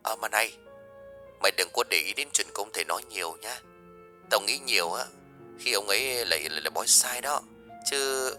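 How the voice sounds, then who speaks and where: A man voicing an older village aunt, blunt and gossipy, who talks in a hushed, confiding way and rushes through her gossip. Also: lowish voice, lively intonation, soft background music. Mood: hushed concern.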